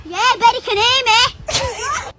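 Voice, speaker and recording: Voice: very high voice, slow pace. A very young-sounding British speaker chatting casually in a blunt, sweary, grumbling way. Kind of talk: casual chat, indignant complaint. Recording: loud outdoor background noise.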